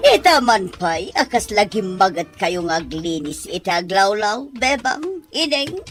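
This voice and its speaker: A woman's voice: medium pitch, big pitch swings, measured pace, faint music behind. A woman playing a stern, disapproving middle-aged mother who scolds and accuses, in an Ilocano radio drama. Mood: scornful.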